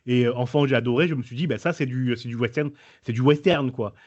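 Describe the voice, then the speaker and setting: A man's voice: medium pitch, fast talker, darkish tone, highs cut off. Man asking hesitant, chatty questions. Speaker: show host. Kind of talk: casual interview talk.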